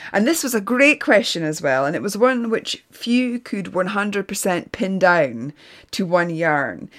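A woman hosting a podcast, reading a scripted round-up with occasional fillers. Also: fairly low pitch. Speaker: podcast host. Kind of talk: scripted narration.

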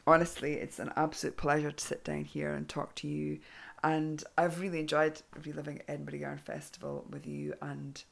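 Woman, podcast host, low voice, gravelly, hoarse, chatty and rambling. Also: level tone, normal speed. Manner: chatty, rambling, with many ums and restarts. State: voice going hoarse. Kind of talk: casual podcast chat.

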